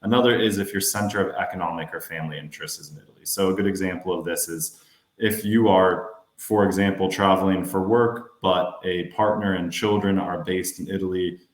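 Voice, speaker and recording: An American man in his thirties, a financial adviser giving a webinar presentation in a steady, explanatory way with some fillers. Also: sits low, a little fast, reverberant. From United States.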